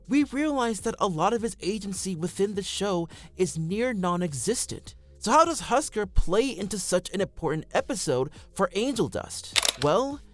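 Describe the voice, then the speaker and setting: A man reading scripted fan commentary as a voice-over for a video essay, close on the microphone. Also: sits high.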